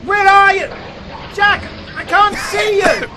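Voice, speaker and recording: A man playing a frantic, loyal ship's radio operator, urgent and shouting, in a radio play. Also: extremely high pitch, takes it slowly, a loud crowd, heavy background noise. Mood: panicked. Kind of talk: amateur radio play acting.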